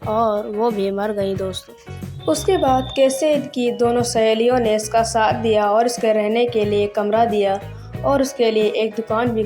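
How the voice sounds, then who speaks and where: A woman presenter gives an informal story recap to viewers. Her delivery is even and flat in pitch, with music clearly playing behind her.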